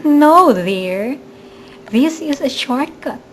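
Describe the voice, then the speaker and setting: A woman playing a scheming, greedy murderess in an amateur radio drama, falsely sweet and coaxing, with smooth reassurance. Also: high voice, lively intonation, extremely slow, full character voice. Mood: falsely reassuring. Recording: faint background noise, amateur recording.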